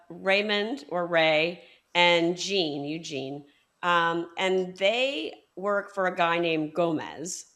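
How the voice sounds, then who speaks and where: A woman hosting a book podcast, summarizing a story in a measured way with frequent ums. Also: fairly low pitch, a bit bright. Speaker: podcast host. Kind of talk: interview podcast.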